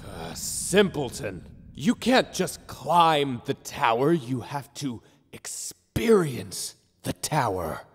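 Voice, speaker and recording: A man's voice: mid-range voice, very animated, slow pace, breathy edge, full character voice. A man playing a theatrical, pompous, easily offended ghost in a cartoon parody, talking down to everyone. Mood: ominous, condescending. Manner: pompous, condescending, melodramatic. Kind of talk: animated cartoon parody acting. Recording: long cavernous reverb, faint noise.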